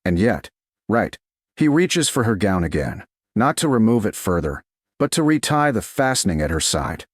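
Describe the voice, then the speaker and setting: Male audiobook narrator for romance: low register, lively intonation, measured dramatic phrasing. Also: no echo, clean studio sound. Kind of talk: scripted romance story narration.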